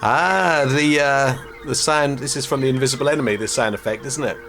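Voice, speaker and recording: A man's voice: mid-range voice, fairly quick, music in the background. A middle-aged man from Suffolk with an English accent, giving casual reaction commentary as a YouTube host. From Suffolk, England.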